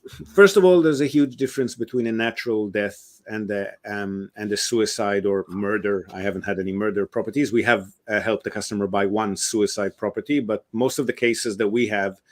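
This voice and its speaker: A man's voice: lowish voice, fairly quick. A man, a real estate investment advisor, answers interview questions at length, explaining step by step with frequent ums and uhs.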